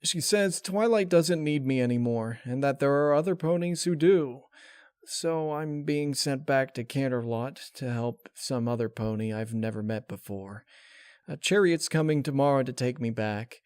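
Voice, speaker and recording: A man's voice: medium pitch, normal speed, a little mellow, clean tone, no room sound, studio quality, natural voice. A man voicing an earnest, unsure young male dragon in a story reading, hesitant and boyish. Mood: dejected.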